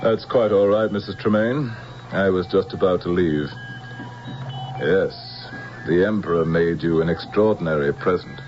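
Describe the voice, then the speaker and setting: An actor playing a smooth, menacing imperial general in an old radio drama, formal and commanding. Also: male voice, low voice, normal speed, dull audio, lightly acted. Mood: politely menacing. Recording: band-limited vintage radio recording with music.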